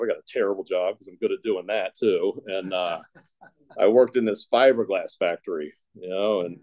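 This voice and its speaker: A man with a somewhat low voice, from Ohio, shares his recovery story in a casual, meandering way. He piles on fillers and restarts and turns his own mistakes into dry, self-deprecating jokes. It sounds like an unscripted talk to a group. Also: darkish tone. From Ohio, United States. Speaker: speaker sharing a recovery story.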